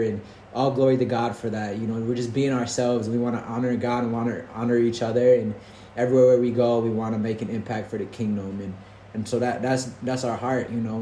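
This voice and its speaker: A man's voice: mid-range voice, dark tone. A man hosting a casual faith-filled conversation, talking in an earnest, rambling way with many restarts. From Detroit, United States. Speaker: podcast host. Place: roomy indoor space, recorded in Portugal. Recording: echoey room with faint background noise.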